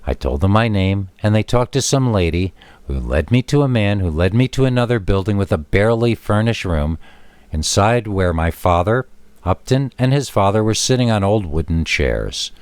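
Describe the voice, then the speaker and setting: Deep-voiced man narrating scary stories. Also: animated, moderate pace, darkish tone, smooth, no room sound. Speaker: horror story narrator. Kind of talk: scripted first-person scary story narration.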